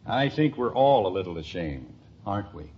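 A man's voice: on the low side, very animated, moderate pace, darkish tone, breathy edge. An actor playing a reasonable, placating middle-aged father and neighbor in a 1950s radio family comedy. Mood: knowing. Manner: placating, knowing. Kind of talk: 1950s radio sitcom acting. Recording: slightly hissy, muffled old radio recording.

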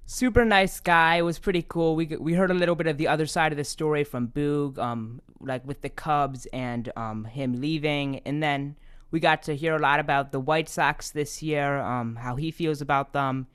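A man's voice: fairly high pitch, steady tone, normal speed, fairly bright tone, clean tone. A man co-hosting a podcast, asking questions and recapping in a casual chatty style. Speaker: podcast co-host. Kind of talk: casual interview and recap chat.

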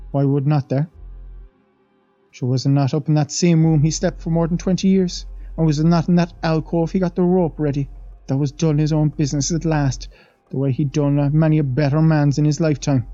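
A man's voice: fairly high pitch, warm tone, dry recording, voice pushed up, close to an everyday voice. A man reading an audiobook voices a superstitious middle-aged Irish housemaid who chatters with fearful, pious asides, on a dull, dry recording.

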